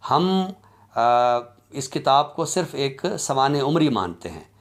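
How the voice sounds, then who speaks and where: A man giving an academic lecture in a deliberate, explanatory way, with halting pauses. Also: medium pitch, sing-song. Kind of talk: academic lecture on literary criticism.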